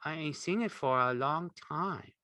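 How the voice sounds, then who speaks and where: A man voicing a big, childlike, slow-witted man who speaks simply and earnestly in ungrammatical rural English, in an audiobook. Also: a little high, bright, forceful tone, no room sound, studio quality, pitched above the performer's own voice. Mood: wistful. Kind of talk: audiobook character acting.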